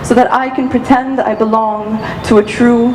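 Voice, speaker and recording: High-voiced young woman performing poetry. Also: fairly even intonation, normal speed. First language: Arabic. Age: teens. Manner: dramatic, rhythmic, impassioned delivery with repetition. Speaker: student speaker. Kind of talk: spoken-word poetry performance. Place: auditorium stage. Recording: echoey hall with background noise.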